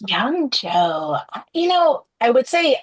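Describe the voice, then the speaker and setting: A woman from Trinidad, a podcast guest, telling her story thoughtfully over a remote call and often pausing with "you know". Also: high voice, very animated, rough recording. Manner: thoughtful, layered answers with frequent "you know". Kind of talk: reflective conversational storytelling.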